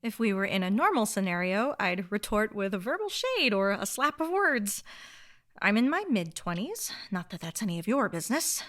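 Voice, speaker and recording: A woman's voice: mid-range voice, big pitch swings, moderate pace, a bit bright. An actress playing an indignant, wordy woman in her twenties in a radio play, with a prim, sarcastic way of talking. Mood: indignant, dry. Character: indignant, wordy young female nursing-school dropout. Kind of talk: radio drama acting.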